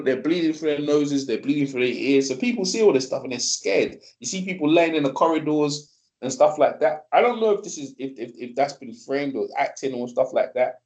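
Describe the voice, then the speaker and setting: A man hosting a casual live stream, talking in a rambling, repetitive and animated way. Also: a little high, poor audio. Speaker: host.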